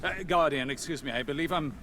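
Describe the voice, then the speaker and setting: A man playing a solemn, intense scholar in a video game, reasoning aloud in a measured, deliberate way. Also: fairly high pitch, sharp tone. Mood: absorbed, realizing. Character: cerebral, solemn male scholar, intense and absorbed. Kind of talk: dramatic video game exposition. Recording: noisy game ambience behind voice.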